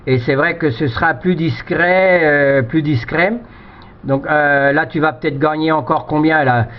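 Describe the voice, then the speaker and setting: A man's voice: fairly high pitch, a little slow. A man chatting casually as an amateur radio operator, giving technical advice and often repeating words as he hesitates. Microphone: two-way radio microphone. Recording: dull, lo-fi radio transmission.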